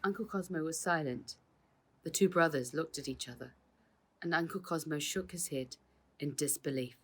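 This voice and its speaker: A woman's voice: low-pitched, normal speed, breathy edge. A woman reading her own children's book aloud as storybook narration.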